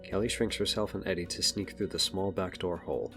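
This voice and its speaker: A man's voice: low-pitched, fairly even intonation, brisk, fairly bright tone, faint music behind. A man narrating a scripted action story as the narrator of an audio drama.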